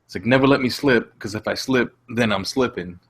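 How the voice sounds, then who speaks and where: A man co-hosting a casual podcast, speaking in a low-key, halting way. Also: a bit bright, slightly rough.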